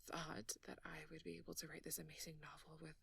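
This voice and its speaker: A woman playing a nerdy, sleepy, flustered and playfully teasing girlfriend in an intimate ASMR roleplay, speaking softly and haltingly, often near a whisper. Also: deep voice, flat delivery, creaky, slightly rough, far from the mic, full character voice. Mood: sheepish, weary. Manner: halting, bashful, conversational.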